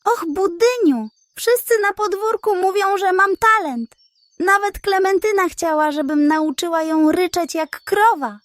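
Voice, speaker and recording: A woman's voice: extremely high pitch, measured pace, no echo, studio quality, pitched above the performer's own voice. A woman voicing a naive, gullible and proud female hen in a children's storybook, with a vain, wide-eyed enthusiasm. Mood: flattered.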